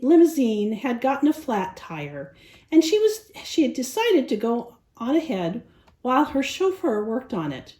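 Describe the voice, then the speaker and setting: Animated, high-voiced woman storyteller, roomy sound. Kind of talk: animated children's story reading.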